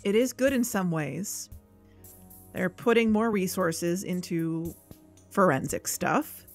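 A woman's voice: on the low side, slow pace, faint music behind. A woman playing a guarded, earnest podcast investigator in a conversational audio drama. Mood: doubtful, aggrieved. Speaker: fictional podcast co-host.

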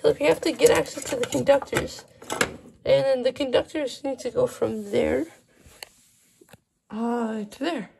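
Woman doing a casual tech vlog, super crackly fry in her voice, noisy handheld phone recording. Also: sits high, fairly even intonation, a little slow, long pauses, warm tone. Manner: informal, explaining while handling gear. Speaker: video host showing a phone system project. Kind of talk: casual hands-on tech vlog, talking off the cuff. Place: small indoor room with wooden floor. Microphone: handheld phone camera, moving around.

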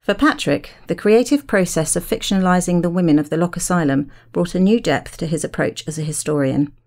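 A woman hosts a podcast in a deep, dark-toned voice with noticeable fry. She moves between interview questions and scripted linking narration. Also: fairly quick.

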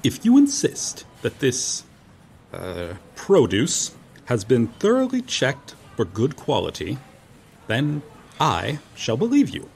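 A man acts out an earnest, noble medieval knight, befuddled but unfailingly courteous. His delivery is theatrical and very animated in pitch, yet unhurried, with archaic formal wording and hesitant pauses. It is an audio roleplay with noticeable background noise. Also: creaky, heavily acted. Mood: humble, earnest. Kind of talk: ASMR roleplay audio drama, addressing the listener.

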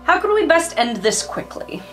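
A woman's voice: high voice, sing-song, moderate pace, a bit bright, soft background music. A woman chatting casually as a player in a tabletop roleplay game.

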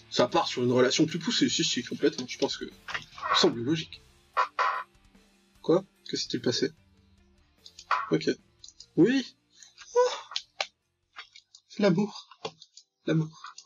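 A young man giving casual, jokey, mock-dramatic commentary while playing a visual novel, muttering asides and reading text aloud. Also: medium pitch. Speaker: gaming video host. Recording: dull lo-fi voice track over clear music.

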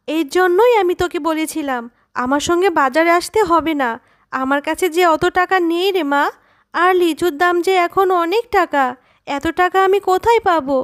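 A woman voicing a poor, worried, gentle widowed fox mother who pleads and explains patiently in a children's cartoon. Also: extremely high pitch, no room sound, studio quality. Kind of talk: Bengali children's cartoon dubbing.

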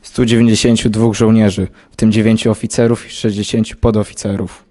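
A man's voice: on the low side, level tone, fairly quick, warm tone, natural voice. A young man playing a brave, dutiful army lieutenant in an amateur war audio drama, with a clipped military delivery. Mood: dutiful, brisk. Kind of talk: scripted war radio drama dialogue.

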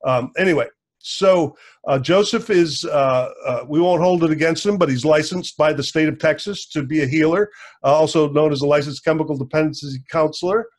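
A man in his sixties hosting a podcast, introducing a guest over a video call from a home room, halting with many fillers. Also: a little high. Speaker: podcast host. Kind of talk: casual interview introduction.

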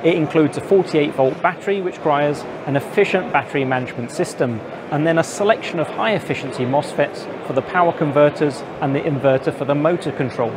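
A man in his forties presenting to camera with a lapel mic in a busy indoor trade show hall, giving clear, informative reports. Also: a little high, brisk, few pauses. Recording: noisy trade show floor.

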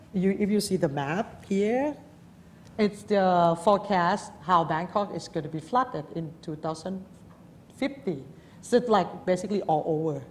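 A woman's voice: fairly low pitch, darkish tone. A woman from Thailand, giving a live architecture lecture at a lectern, speaking informally with many fillers and restarts.

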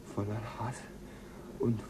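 A man's voice: fairly low pitch, fairly even intonation, slow pace. An actor playing a shaken crewman after a killing, with a breaking voice, in a radio drama. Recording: noisy radio play recording.

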